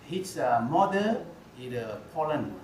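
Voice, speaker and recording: A man, a Buddhist monk, telling a personal story in halting, broken English with frequent hesitations and restarts. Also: on the high side, very animated, a little mellow. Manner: halting non-fluent English, many "uh" fillers and restarts. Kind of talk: storytelling dharma talk. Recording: roomy echo, faint background noise.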